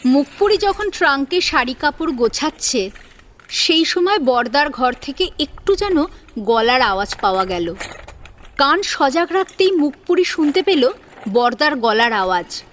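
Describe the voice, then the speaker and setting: A woman's voice: high voice, very animated, measured pace, fairly bright tone, a little hiss or hum. A woman reading scripted narration of a story as its narrator.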